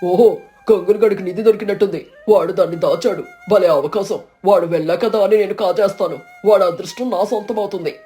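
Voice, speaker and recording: A woman acts out a greedy, vengeful village man from a cartoon folk tale. The voice is mellow yet boastful and exclamatory, over background music. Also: medium pitch, a little fast, natural voice. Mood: sly glee. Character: greedy, scheming, vengeful male village neighbour. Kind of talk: animated moral story acting.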